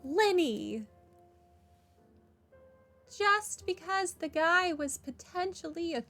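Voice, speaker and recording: A woman hosting a casual game playthrough in an unhurried, drawn-out way, with a gravelly fry in her voice. She rambles and comments with dry sarcasm over faint music. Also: extremely high pitch, lively intonation, pushed and bright. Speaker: gaming video host. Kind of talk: casual playthrough commentary, reading dialogue aloud.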